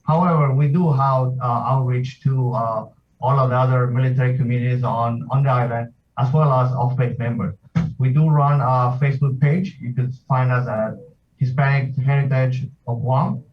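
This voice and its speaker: Hesitant sergeant on a dull distant call. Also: male voice, medium pitch, moderate pace. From South America. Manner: steady, hesitant with many uhs. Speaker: association president, military sergeant. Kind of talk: remote video interview.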